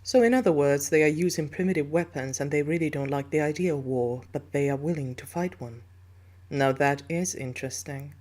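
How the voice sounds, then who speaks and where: Hive negotiator, female actor: formal, composed, calculating alien authority figure, low female register, sci-fi roleplay. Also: brisk, a little mellow, natural voice. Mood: intrigued, calculating. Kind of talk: scripted sci-fi audio roleplay.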